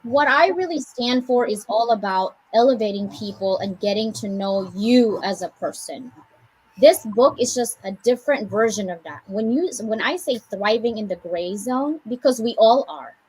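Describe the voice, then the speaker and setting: Woman, American accent, raised from the Philippines; husky, slightly high voice; motivational co-host with jokes. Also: low-quality recording. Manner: animated, preachy pep talk with joking asides. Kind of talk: casual group chat, motivational.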